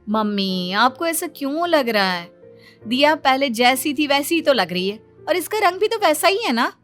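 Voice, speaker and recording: A woman playing a patient young Indian daughter-in-law in a cartoon family story, politely reasoning in measured phrases. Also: sits high, sing-song, a bit bright, faint music behind. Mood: gently protesting.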